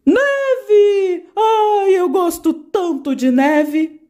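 A woman voicing a pampered king in a children's story, excitable and bossy. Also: very high voice, very slow, voice pushed up, exaggerated voice. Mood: delighted. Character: pampered male king who hates heat.

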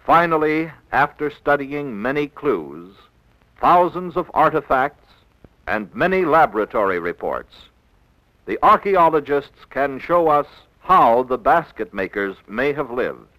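Slow, expressive American educational film narrator, male. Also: mid-range voice, no room sound, poor audio. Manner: measured, didactic, clearly enunciated. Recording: old 1960s film soundtrack.

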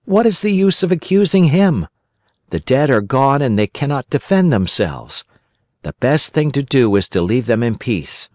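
A man reading aloud gives us a mischievous wooden marionette in a storybook, raised high and boyish. His voice leaps up and down with eager pride. Dry, close sound with no room around it. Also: sing-song, moderate pace, a little mellow, dry recording, natural voice. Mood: solemn. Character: mischievous wooden boy marionette, proud and plucky.

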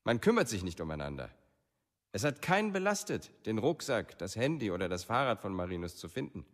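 Indignant prosecutor, lively intonation. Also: male voice, lowish voice, a little fast. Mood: indignant, reproachful. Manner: articulate, reproachful. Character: indignant, articulate prosecutor commenting on the case. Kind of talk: documentary radio play monologue. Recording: long hall reverberation.

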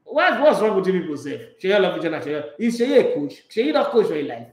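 A middle-aged Nigerian man hosting a sports radio talk show, animated and emphatic, mixing pidgin into his English. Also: sits high, quick pace. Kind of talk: live sports talk show, reading viewer comments. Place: small radio studio. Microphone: studio microphone with headphones. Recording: echoey studio room.

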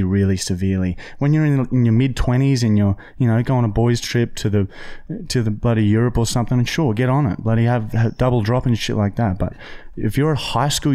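Low-voiced Australian man, matey prank caller. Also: dark tone. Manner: sweary, matey, hesitant phrasing. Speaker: podcast host making prank calls. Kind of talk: prank call, casual official patter. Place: indoor podcast studio. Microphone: boom-arm studio microphone with headphones.

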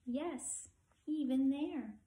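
A woman's voice: high-pitched, very slow, mellow, pitched above the performer's own voice. A woman voicing a patient, reassuring mother of young children in a storybook, soothing and assured. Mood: assured, loving. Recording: echoey room.

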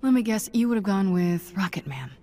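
A woman's voice: mid-range voice, lively intonation, a little fast, warm tone, a little hiss or hum, lightly acted. A woman playing a tough but reassuring spy hero in a video game, wry and no-nonsense. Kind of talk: video game cutscene acting.

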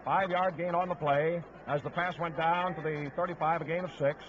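A man's voice: a little high, steady tone, moderate pace. A man calling live play-by-play football commentary on a 1950s radio broadcast. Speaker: play-by-play sports announcer. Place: stadium broadcast position. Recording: noisy, muffled old 1950s radio broadcast. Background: outdoor stadium crowd noise.

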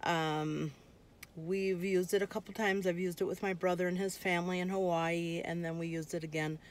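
An older woman chatting in an unhurried way to her phone camera, first inside a minivan and then outdoors at a campsite, with many ums. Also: on the low side, fairly even intonation. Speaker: vlogger. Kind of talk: casual chat. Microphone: phone held at arm's length.

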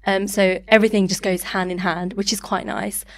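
A woman's voice: mid-range voice, level tone, brisk. A young woman guest on a podcast, a dentist, sounding nasal from a cold as she explains her work earnestly. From Great Britain. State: has a cold. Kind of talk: casual interview chat. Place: podcast studio with purple lighting, indoors. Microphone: studio mic on arm.

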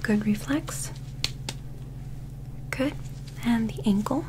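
A young woman doing a soft-spoken ASMR medical roleplay in a breathy whisper, gentle, soothing and reassuring, close to the microphone. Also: a little high, slow pace, background noise. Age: young adult.

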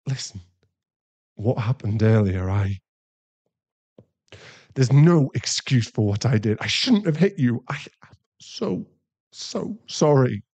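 A man playing a distraught, guilt-ridden werewolf boyfriend in an intimate ASMR roleplay, halting and pleading. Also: mid-range voice, lively intonation, a little mellow, husky. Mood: remorseful, shaken. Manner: halting, pleading, emotionally fragile.

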